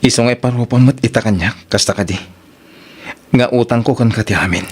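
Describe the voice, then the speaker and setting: A man's voice: medium pitch, a little fast, slightly rough. A man acting a heartbroken, devoted young man in an Ilocano romance drama, earnest and wistful, with an Ilocano accent and music behind him. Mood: yearning, hurt. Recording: music bed under the voice.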